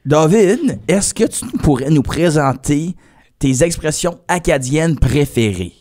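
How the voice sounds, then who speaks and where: A man's voice: a little high, expressive, slow, darkish tone. A man with a Canadian French accent hosting a podcast, asking questions in a casual interviewing style. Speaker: podcast host.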